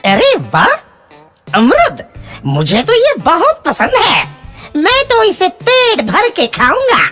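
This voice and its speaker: A woman's voice: very high voice, wildly swooping pitch, slow pace, a bit bright, soft background music, heavily acted. A woman voicing a cheeky, greedy little male parrot in a cartoon story, with a childlike, exclaiming, sing-song way of talking. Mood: delighted, greedy. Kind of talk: Hindi cartoon story dialogue.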